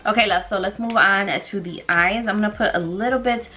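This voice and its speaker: A woman's voice: medium pitch, brisk, bright, forceful tone, soft background music. A young woman in her twenties with a stuffy nose, chatting casually through a makeup tutorial in a small room, rambling with lots of fillers. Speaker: beauty vlogger. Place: small bedroom vanity indoors.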